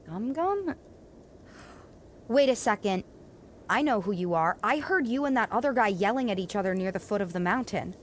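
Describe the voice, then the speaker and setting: A woman's voice: mid-range voice, expressive, normal speed, long pauses, slight background noise, close to an everyday voice. A woman playing a determined, kind young female mountain climber, plucky and inquisitive, in a family audio drama. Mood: friendly, curious.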